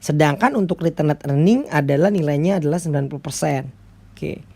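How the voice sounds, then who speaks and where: An Indonesian woman with a slightly low voice is teaching as a lecturer. She explains things step by step in a conversational way, often adding small tags to check that listeners follow. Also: lively intonation, brisk, mellow, breathy edge. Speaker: accounting lecturer.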